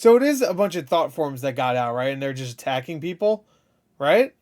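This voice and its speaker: A man's voice: on the high side, sing-song, brisk, a little mellow. A man giving casual, jokey reaction commentary with slangy swearing as he watches a video. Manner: profane, slangy, jokey asides. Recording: clear background noise.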